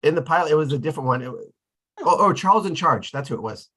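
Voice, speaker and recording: A man's voice: a little high, animated, racing, sharp tone, slightly rough. Tentative man in casual chat. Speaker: table read participant.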